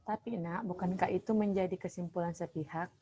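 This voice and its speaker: A woman playing a counselor in a role-play, calm and gently probing over an online call. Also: on the low side, fairly quick. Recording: dull lo-fi online call with faint noise.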